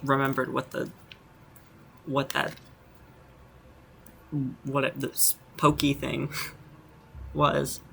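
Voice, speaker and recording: Creaky-voiced streamer making casual asides. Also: medium pitch, monotone, drawn-out words, long pauses, pushed and bright, slight background noise. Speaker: streamer playing a game. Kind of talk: casual playthrough commentary.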